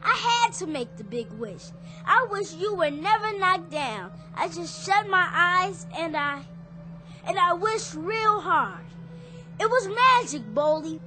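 A woman's voice: very high voice, animated, takes it slowly, music in the background, full character voice, rough recording. A woman playing an earnest, pleading young boy in a radio drama, with simple childlike speech. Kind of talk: dramatic radio play acting.

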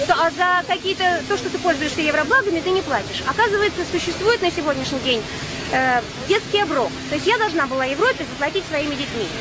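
A woman in her forties, born in Ukraine and raised in Russia, giving an urgent, insistent speech at a street picket and often pausing on drawn-out "uh" fillers. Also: very high voice, background noise. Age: 40s. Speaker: protester at a picket. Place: outdoor street picket beside traffic.

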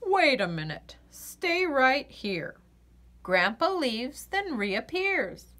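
A woman reading a children's picture book aloud in an expressive, sing-song way. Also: high voice, extremely slow. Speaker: children's story reader.

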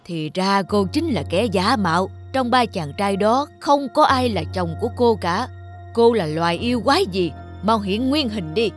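An actor playing a wise old village man with measured authority in an animated folktale. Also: high voice, soft background music. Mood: stern.

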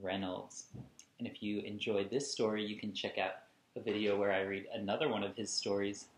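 A man in his thirties reading a picture book to young children expressively, with emphatic, deliberate delivery and explanatory asides. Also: fairly low pitch, flat delivery. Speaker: children's read-along host. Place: small indoor room at home. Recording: echoey room, camera at a distance.

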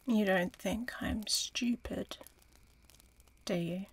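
An ancient female dragon played by a woman in a fantasy ASMR roleplay. She speaks very slowly and with a gravelly edge, breathy and proud, toying with her listener in quiet menace. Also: mid-range voice, slight background noise. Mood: mocking. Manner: slow, deliberate, breathy, teasing.

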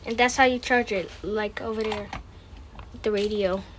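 A woman's voice: mid-range voice, fairly even intonation, normal speed. A woman hosting a casual unboxing, making short, repetitive remarks as she handles items. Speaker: host of an unboxing video. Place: indoors, room with wooden floor. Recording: clicks and handling noise.

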